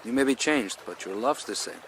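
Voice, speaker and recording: An actor playing an earnest, tender-hearted gold prospector full of wonder, in expressive radio drama style with short phrases. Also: male voice, medium pitch, a little fast, slight background noise, lightly acted. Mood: tender, awed.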